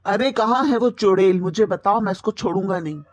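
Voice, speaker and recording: Indignant male cartoon bird acted by a woman: blustering, demanding delivery at middling pitch. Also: brisk, lightly acted. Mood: angry.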